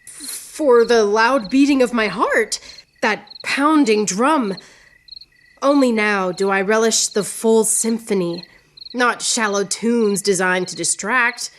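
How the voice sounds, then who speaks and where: An actress playing a witty, proud, lovelorn woman poet in radio theatre, speaking in a theatrical, yearning way with drawn-out phrases. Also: fairly high pitch, a little hiss or hum. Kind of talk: poetic radio theatre acting.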